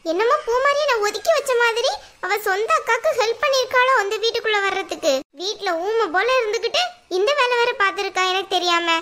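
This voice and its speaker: A woman voices a stern grandmother who rules the house. She scolds in a sharp-tongued way. Her voice is high for an old woman, with a bright edge. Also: brisk. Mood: scolding.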